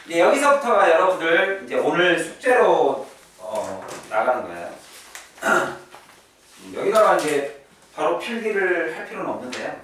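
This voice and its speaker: Echoey, chatty male teacher, lively pitch. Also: a little slow. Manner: chatty, checks in with students often. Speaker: English teacher at a private academy. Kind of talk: classroom lesson, explaining vocabulary and grammar. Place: classroom. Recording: echoey room, distant mic, faint noise.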